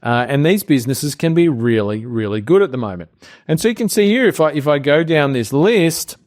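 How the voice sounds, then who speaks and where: Australian man explaining with lively pitch. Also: a little fast. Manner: relaxed and explanatory, thinking aloud with fillers. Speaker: co-host of a business training show. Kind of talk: screen-share tutorial.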